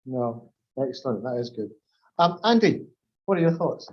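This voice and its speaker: A man's voice: mid-range voice, sing-song, normal speed, dull audio. Glaswegian man hosting a panel call. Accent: Glaswegian Scottish. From Glasgow, Scotland. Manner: chatty, loose, with fillers and restarts. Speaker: webinar host. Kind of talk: casual moderating of a panel.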